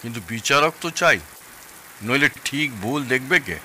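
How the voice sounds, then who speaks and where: A boastful older Kolkata father-in-law in a Bengali radio play. He speaks emphatically at a medium pitch, with a very sharp, forceful tone over faint noise. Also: normal speed, rough recording. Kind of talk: Bengali audio drama dialogue.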